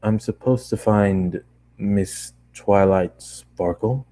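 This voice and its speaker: A man's voice: fairly low pitch, takes it slowly, mellow. A man voicing a polite, reserved traveler who speaks in a hesitant, understated way. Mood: matter-of-fact. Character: polite, reserved male traveler, hesitant among chatty strangers.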